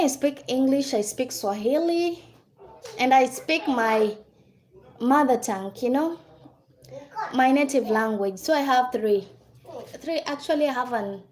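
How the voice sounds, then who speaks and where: High-voiced Kenyan woman chatting on a livestream. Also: darkish tone. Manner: chatty, reads and answers viewer comments, thanks viewers by name. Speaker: livestream host. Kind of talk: casual livestream chat.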